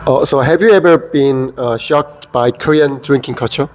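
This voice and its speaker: A man's voice: mid-range voice, normal speed. A man interviewing a guest with short, plain questions.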